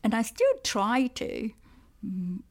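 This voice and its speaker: A woman whose first language is Cantonese, talking thoughtfully in an interview, often halting and repeating words as she searches for them. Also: mid-range voice. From Malaysia. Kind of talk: conversational interview.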